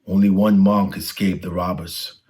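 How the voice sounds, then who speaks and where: A man with a low-pitched voice narrates a storybook for children. He reads from a script, as a storyteller reading aloud. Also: fairly even intonation, moderate pace, darkish tone.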